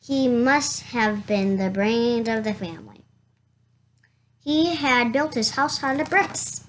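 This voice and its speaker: Someone reading a children's storybook aloud in a halting way, stumbling over words and going back over them. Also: medium pitch, a little hiss or hum. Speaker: reader of a children's picture book.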